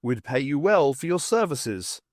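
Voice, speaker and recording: A man's voice: medium pitch, sing-song, measured pace, no room sound, studio quality. A man voicing an earnest male envoy in an audiobook, formal and explanatory in manner. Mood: businesslike.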